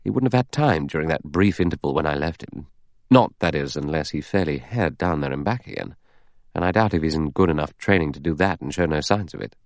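A man's voice: a bass voice, brisk, dry recording, studio quality. A man playing a cool, cynical, self-interested adventurer in an audiobook, nonchalant and wry. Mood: thoughtful. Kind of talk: audiobook character dialogue.

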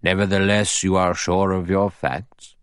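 A man reads the part of a cool, commanding old judge in a storybook reading, weighing each word in a slow, very deep voice. The voice is close and dry, with no room around it. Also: level tone, clear, resonant tone, dry recording. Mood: cool, deliberate. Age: older. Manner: measured, precise, quietly authoritative.

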